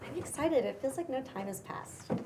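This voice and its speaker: A woman plays a breezy young woman with a writer's wry streak. Her voice sits a little high and sounds casual and conversational, as in a script read, with slight noise behind it. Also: expressive, normal speed. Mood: curious, uneasy. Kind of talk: table read of a pilot script. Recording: faint background noise.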